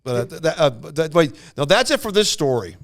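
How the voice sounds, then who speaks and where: A man casually telling anecdotes on a podcast in a rambling, animated way, acting out what people said. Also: on the high side, brisk, gravelly. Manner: rambling, animated retelling with acted-out quotes. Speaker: podcast storyteller.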